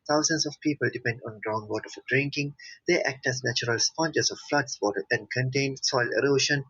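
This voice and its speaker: A man reads a scripted passage in a husky voice at a middling pitch. The recording is dry and somewhat muffled. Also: a bit bright, no room sound. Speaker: exam passage reader. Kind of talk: scripted read-aloud narration.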